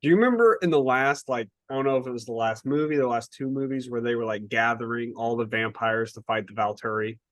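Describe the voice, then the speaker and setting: A man with an average-pitched voice chats as a podcast co-host. He rants with exasperation and swears casually. The sound is dry, with very little room on it. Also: fast, hardly any pauses, no echo. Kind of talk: casual book review chat.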